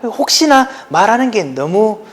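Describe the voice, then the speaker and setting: A man's voice: sits high, sing-song, a little slow, fairly bright tone. Chatty Daegu-accented male teacher. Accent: Gyeongsang (Daegu) Korean. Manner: chatty, informal, talks to students directly. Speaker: high school history teacher. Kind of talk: casual online lecture.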